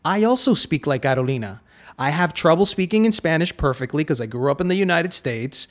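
A man's voice: on the high side, lively intonation, fairly quick. A man hosting an interview, asking long winding questions and thinking aloud as he goes. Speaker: interview host. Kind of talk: conversational interview.

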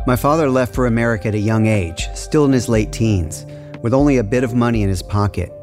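An actor reading, in measured tones, as a wry young man recounting his own life. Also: mid-range voice, a little mellow, faint music behind, natural voice. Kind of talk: reading from an autobiography.

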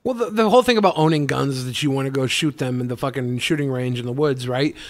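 A man's voice: mid-range voice, fast. Crude, joking man on a panel. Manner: crude, joking, swears freely. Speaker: podcast panelist. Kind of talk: casual panel banter.

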